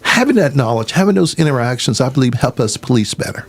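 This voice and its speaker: An older man with a drawl speaks into a studio microphone at an even, middling pitch. He tells stories in a folksy, measured way, pausing often on "uh". He sounds like a sheriff being interviewed on a radio show. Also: husky. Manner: measured, folksy storytelling with frequent "uh" pauses. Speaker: sheriff guest.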